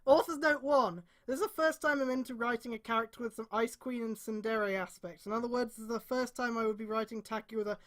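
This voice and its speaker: A woman's voice: on the high side, steady tone, fast, hardly any pauses, fairly bright tone. A woman streamer reading fan fiction aloud in a mocking, theatrical way, with exasperated, sweary asides. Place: indoors on a live stream.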